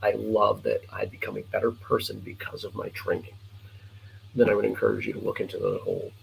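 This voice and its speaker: A man's voice: on the low side, flat delivery, gravelly. Middle-aged male host, scratchy worn-out voice, casual and earnest, slight hiss behind. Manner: earnest, encouraging, halting with fillers. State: hoarse, scratchy voice; sleep-deprived. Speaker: host of a martial arts morning show. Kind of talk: casual live-stream chat.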